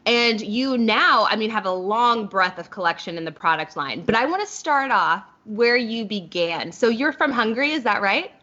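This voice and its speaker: Bright, upbeat woman host. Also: on the high side, lively intonation, normal speed, few pauses, dull audio. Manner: upbeat, effusive with praise. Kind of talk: interview hosting.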